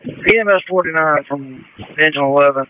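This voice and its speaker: Higher-voiced male firefighter on tinny radio. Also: moderate pace, clear, resonant tone. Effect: radio transmission. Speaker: fire engine crew member. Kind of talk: operational radio traffic. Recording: tinny, noisy two-way radio.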